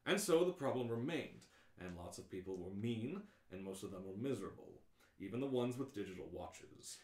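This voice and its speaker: A man's voice: lowish voice, animated, normal speed, a little mellow, echoey room. A man hosting a live script read-through, narrating in a playful, theatrical way. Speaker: host and narrator.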